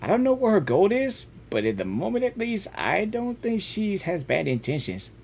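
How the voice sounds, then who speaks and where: A woman game streamer giving casual, excitable live reactions and reading dialogue aloud, often repeating herself. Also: mid-range voice, moderate pace, creaky, background noise.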